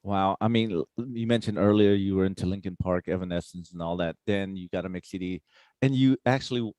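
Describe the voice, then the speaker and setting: A male host with a very deep, mellow voice, chatting casually and enthusiastically. His questions ramble and restart as he goes. The recording is dry and close. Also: a little fast, dry recording. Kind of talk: casual interview chat.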